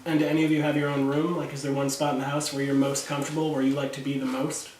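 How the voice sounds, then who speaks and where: A man investigating a house, gently asking spirits questions and calling out meter readings. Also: medium pitch, little pitch movement, fast talker. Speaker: paranormal investigator. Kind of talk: casual ghost-hunting talk. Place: inside a house. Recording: echoey room from far off, faint noise.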